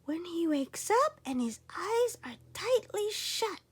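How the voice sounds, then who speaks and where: A woman plays a tiny little boy from a children's storybook, pitched far up. He sounds anxious yet brave and polite. His pleading lines swing widely and come unhurried. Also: big pitch swings, dry recording, full character voice. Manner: trembling, pleading, earnest. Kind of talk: children's storybook read-aloud.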